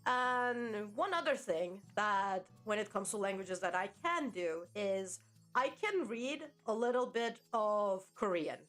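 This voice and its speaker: A woman's voice: medium pitch, animated, takes it slowly, fairly bright tone, faint music behind. A woman in her thirties, a native Turkish speaker, chatting casually on a livestream in a rambling, self-mocking way and swearing now and then. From Turkey. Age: 30s. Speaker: VTuber streamer.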